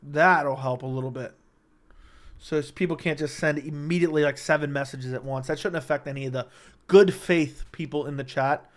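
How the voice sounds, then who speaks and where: A man hosting a casual livestream, reading chat questions aloud and answering them in a joking way, his voice slightly raspy and worn. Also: fairly high pitch, steady tone, normal speed.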